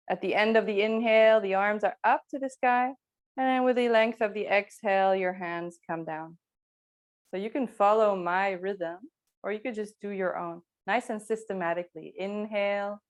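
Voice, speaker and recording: A woman teaching yoga, giving calm, unhurried and gently encouraging guidance through movement and breathing. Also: medium pitch. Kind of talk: guided slow movement and breathing instruction.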